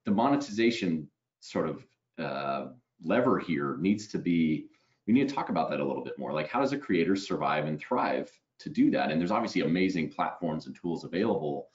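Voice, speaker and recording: A man in his forties with a very low voice hosts an interview over a headset from home. He talks easily and checks in with "right?" throughout. The audio sounds slightly dull. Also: expressive, brisk. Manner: conversational, tags lines with "right?". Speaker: webinar host. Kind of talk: casual video call interview. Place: home room.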